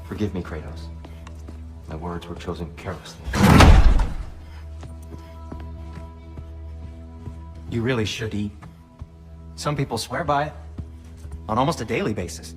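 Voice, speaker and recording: An actor playing a gentle, courteous middle-aged advisor in a video game, measured and politely wry. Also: male voice, fairly low pitch, music in the background, close to an everyday voice. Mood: apologetic, gentle. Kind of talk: video game cutscene acting.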